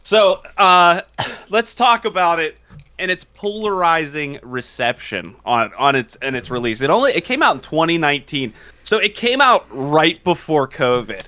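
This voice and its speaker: A man co-hosting a casual gaming podcast, excitable and animated and quick to laugh, speaking into a studio mic. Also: fairly high pitch, no echo. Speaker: podcast co-host.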